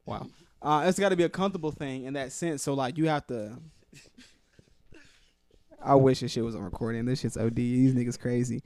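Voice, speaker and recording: A man's voice: medium pitch, steady tone, a little fast, pauses often, darkish tone, no echo. A man in his twenties chatting animatedly on a podcast, laughing through stories and swearing freely. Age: 20s. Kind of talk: casual podcast chat.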